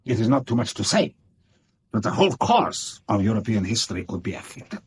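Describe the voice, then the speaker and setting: A man's voice: on the low side, big pitch swings, brisk, breathy edge, heavily acted. An actor playing a proud Bohemian king in a radio drama, with a pompous, formal manner. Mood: grave, formal.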